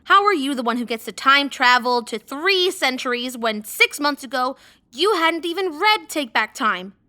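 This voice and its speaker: A woman's voice: high voice, animated, normal speed, pushed and bright. An eager young fan girl. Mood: hurt. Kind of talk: audio drama acting.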